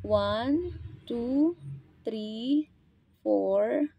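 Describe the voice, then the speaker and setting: A woman's voice: fairly high pitch, expressive, drawn-out words, gravelly, slightly rough, no echo, lo-fi sound. A woman with a Filipino accent giving a casual hands-on product tutorial as a vlogger, chatty and informal, speaking straight to her viewers. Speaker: vlogger and motorcycle gear seller demonstrating products.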